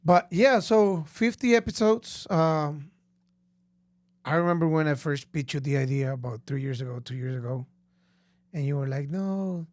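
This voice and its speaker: A man with a Costa Rican Spanish accent, co-hosting a casual podcast chat in a relaxed way. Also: on the high side, moderate pace, no echo. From Costa Rica. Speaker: podcast co-host.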